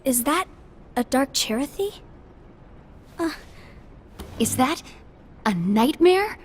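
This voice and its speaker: An actress playing an excitable young female apprentice who gushes and asks wide-eyed questions. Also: high voice, takes it slowly, a little hiss or hum, exaggerated voice. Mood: worried.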